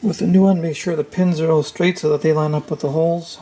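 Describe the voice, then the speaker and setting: A man giving an unscripted, hands-on repair walkthrough, thinking aloud in a halting, tentative way. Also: on the high side, fairly even intonation, dark tone, low-quality recording. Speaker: repair tutorial host.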